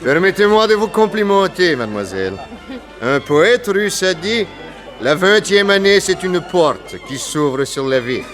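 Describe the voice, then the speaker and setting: Casting: shrewd, gallant sleuth of a radio serial; methodical, courteous, expressive pitch movement. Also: male voice, measured pace, over music. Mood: gallant, ceremonious. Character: shrewd detective, posing as a gallant Russian diplomat. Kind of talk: French radio detective serial acting.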